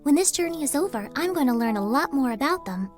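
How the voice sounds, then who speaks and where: A woman's voice: sits high, lively intonation, fast talker, soft background music. A woman voicing a polite, studious young girl in a video game, earnest and carefully worded. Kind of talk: English video game dub acting.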